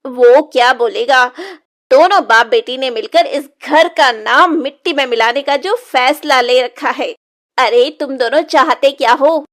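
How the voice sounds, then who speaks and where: Woman acting a cruel high-voiced cartoon stepmother. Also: a little slow, a bit bright. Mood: furious. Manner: harsh, taunting, threatening. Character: cruel, scolding middle-aged village stepmother. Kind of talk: Hindi moral-story cartoon dubbing.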